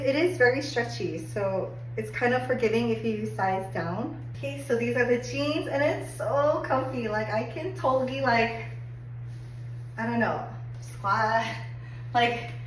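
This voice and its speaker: A woman getting over a cold and a little hoarse, chatting upbeat through a clothing try-on review with lots of "like"s. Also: a little high. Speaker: fashion haul vlogger. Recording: echoey room, distant mic, faint hum.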